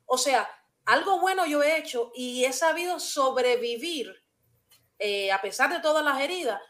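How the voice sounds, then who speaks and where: A woman's voice: high-pitched, lively intonation, moderate pace, a bit bright. A woman hosting a talk show, speaking earnestly and personally, often restarting her sentences. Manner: earnest, hesitant, with frequent restarts.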